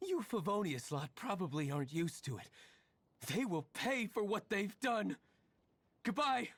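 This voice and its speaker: A man's voice: high-pitched, lively intonation, measured pace, a bit bright, exaggerated voice. An actor playing a bitter, sarcastic, vengeful young male villager in a video game. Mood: scornful. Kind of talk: video game character dialogue.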